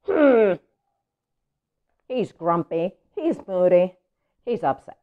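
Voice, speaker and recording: A woman hosting a children's story read-aloud, chatting to viewers in an expressive, child-directed way. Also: on the low side, slow pace, narrow, tinny audio. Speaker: children's story reader and host.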